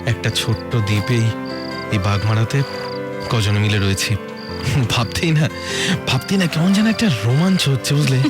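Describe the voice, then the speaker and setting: An actor playing an enthusiastic, romantic, easily thrilled holiday guest in an effusive audio drama style. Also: male voice, medium pitch, moderate pace, long pauses, close to an everyday voice. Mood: dreamy delight. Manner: effusive, savouring. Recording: music behind, chirping crickets.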